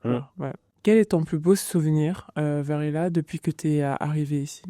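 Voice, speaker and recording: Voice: mid-range voice, normal speed, mellow, dry recording, clean studio sound. A host asking casual interview questions in a chatty way, full of fillers.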